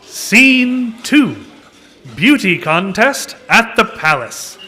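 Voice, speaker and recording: A man narrating a children's puppet show in deliberate, expressive storybook style. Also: high voice.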